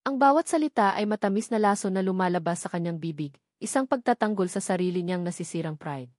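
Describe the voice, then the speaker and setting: A woman's voice: mid-range voice, expressive, fast talker, darkish tone, no room sound, studio quality. A woman with a Filipino accent reading a scripted romantic story as a dramatic audiobook narrator.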